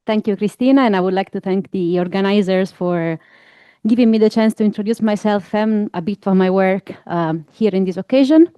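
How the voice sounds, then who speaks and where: A woman from Italy with an Italian accent, presenting her research at a conference in a relaxed, fluent way with soft fillers. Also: medium pitch, fairly quick, clear, resonant tone, no room sound. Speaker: researcher giving a talk.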